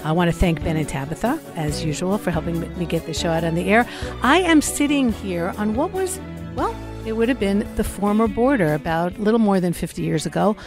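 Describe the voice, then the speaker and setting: A woman hosting an interview show, chatty and animated, joking with her guest while sitting outdoors. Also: mid-range voice, fairly quick, over music. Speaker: talk show host. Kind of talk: casual interview. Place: outdoors on a platform.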